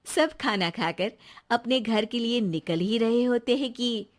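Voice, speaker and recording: A woman's voice: fairly high pitch, measured pace. A woman giving scripted narration for a cartoon story.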